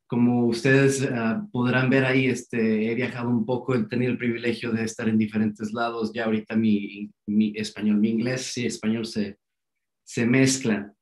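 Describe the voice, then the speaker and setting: A man's voice: medium pitch, flat delivery, moderate pace. A man giving an informal scientific talk over a video call, peppering his speech with "este" fillers. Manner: casual, filler-heavy, says "este" a lot. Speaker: guest lecturer. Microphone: video call microphone.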